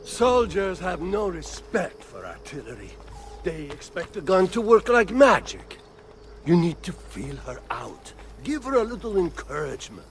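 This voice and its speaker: An actor playing a grumpy, proud Renaissance cannon maker in a video game, with a gravelly Italian-accented drawl. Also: male voice, high voice, sing-song, measured pace, slight background noise, full character voice. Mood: proud, protective.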